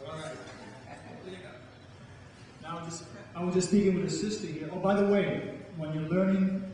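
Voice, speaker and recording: A man's voice: a little high, warm tone. A middle-aged man, a poet performing and talking to an audience from a stage. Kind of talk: live spoken-word performance with informal remarks to an audience. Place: campus event hall, live audience. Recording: echoey hall, distant mic, faint noise.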